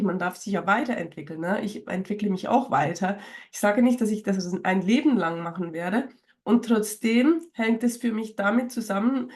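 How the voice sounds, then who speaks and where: A woman with a cold, a little hoarse, talking in a casual, rambling way straight to her viewers as a spiritual coach, with many fillers and restarts. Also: mid-range voice, darkish tone. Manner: speaks directly to viewers. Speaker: spiritual coach and channel host. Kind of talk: casual talk to camera.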